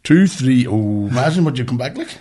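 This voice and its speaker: A Northern Irish man in his thirties with an average-pitched voice that has some huskiness to it. He talks like a podcast host among mates, telling stories in casual, blunt language. He swears often and leans hard on his points. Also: animated, brisk, mellow, breathy edge. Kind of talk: casual banter, animated storytelling.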